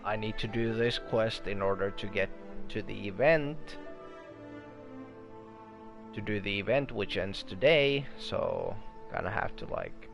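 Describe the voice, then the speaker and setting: A man's voice: on the low side, a little slow, gravelly, pauses often. A tired man streaming a game, chatting casually in a rambling, sweary way. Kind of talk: casual livestream chat while playing. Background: game music.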